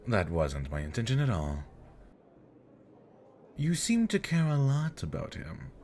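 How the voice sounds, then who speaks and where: A man's voice: mid-range voice, huge pitch swings, a little slow, a little mellow, slight background noise. A man playing a smug, teasing elder demon nobleman with mocking politeness and flirtatious charm, in an ASMR fantasy roleplay. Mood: flirtatious, taunting.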